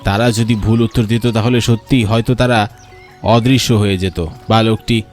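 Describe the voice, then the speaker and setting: A man's voice: fairly low pitch, fairly even intonation, moderate pace. A man narrating a cartoon folk story as a scripted storyteller. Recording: music behind the narration.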